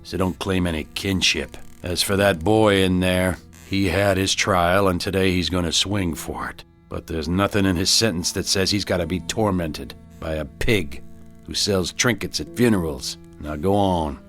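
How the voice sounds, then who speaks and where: Deep-voiced, stern Western sheriff. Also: male voice, normal speed, fairly bright tone. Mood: stern, commanding. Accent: American. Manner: gruff, firm, contemptuous. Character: stern, weary Old West sheriff. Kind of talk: radio drama acting. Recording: music underneath.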